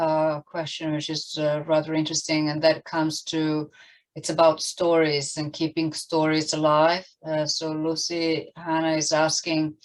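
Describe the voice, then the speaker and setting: A woman moderating an online panel, putting questions in a halting way and often rephrasing. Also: deep voice. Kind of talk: hesitant live webinar moderation. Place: online video call.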